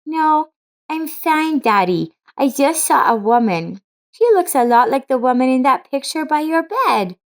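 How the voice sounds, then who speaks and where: Woman voicing an eager, high-pitched little girl. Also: lively intonation, a little slow. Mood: excited. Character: excited, insistent curious little girl.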